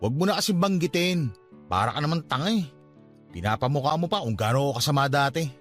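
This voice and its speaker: An actor playing a troubled young man in a radio drama, blunt but softening. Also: a little high, lively intonation, fast, soft background music. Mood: teasingly annoyed.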